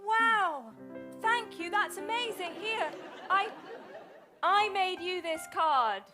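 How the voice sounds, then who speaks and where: A woman playing a halting, apologetic posh young heroine in an improvised stage pantomime. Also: very high voice, expressive, a crowd in the background, full character voice. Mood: grateful, sorry. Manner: halting, stammering, gushing. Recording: music and audience behind.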